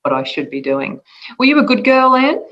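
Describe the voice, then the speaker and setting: A woman hosting a podcast interview, asking questions in a relaxed conversational way with some hesitation. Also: sits high, normal speed, lo-fi sound. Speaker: podcast host.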